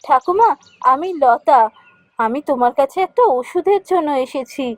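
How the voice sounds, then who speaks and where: A woman's voice: high voice, animated, normal speed. A woman voicing a kind, worried young village girl in a children's fairy tale cartoon. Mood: puzzled, earnest.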